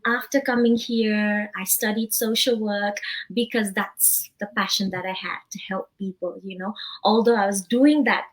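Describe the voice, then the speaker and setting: A woman's voice: mid-range voice, steady tone, normal speed, poor audio. A woman in her thirties from Nepal, living abroad, talking through her story as an interview guest while a little unwell. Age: 30s. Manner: chatty, reflective, says "you know" often. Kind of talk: casual interview.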